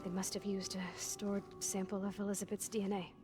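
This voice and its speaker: A woman's voice: medium pitch, level tone, fairly quick, close to an everyday voice. An actress playing an anxious, defensive young clone woman in a video game, with clipped, formal, precise wording. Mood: guarded, subdued. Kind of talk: video game dialogue acting. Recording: music behind the voice.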